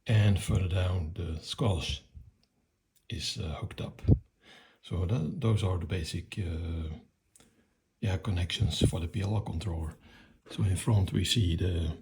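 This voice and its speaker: Mature male electronics hobbyist, deep gravelly voice, plain unscripted technical walkthrough with halting delivery. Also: dark tone, a little hiss or hum. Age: middle-aged or older. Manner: matter-of-fact, hesitant.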